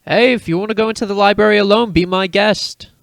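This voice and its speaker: A man's voice: high voice, steady tone, fast talker, gravelly, no room sound. A man acting a bold, cocky teenage schoolboy in a fan fiction audio drama, speaking in an earnest, boastful way. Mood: defensive.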